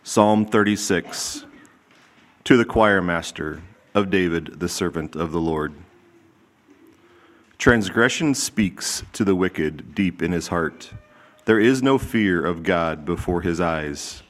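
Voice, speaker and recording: A man reading a psalm aloud in a church service in a measured, deliberate way. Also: low-pitched, expressive. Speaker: scripture reader. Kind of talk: formal scripture reading.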